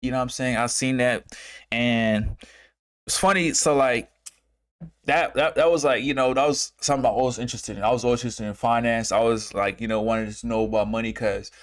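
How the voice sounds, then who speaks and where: A man in his twenties from Uganda who moved to America, hosting a casual livestream and talking in a halting, rambling way, repeating phrases and circling back. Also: medium pitch, fairly even intonation. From Uganda, moved to America as a child. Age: 20s. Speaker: trading streamer and host.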